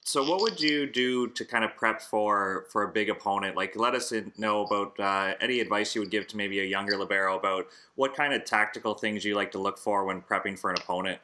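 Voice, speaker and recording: A man's voice: fairly low pitch, brisk, few pauses, pushed and bright. A man interviewing a college athlete, asking chatty, upbeat questions. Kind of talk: sports interview.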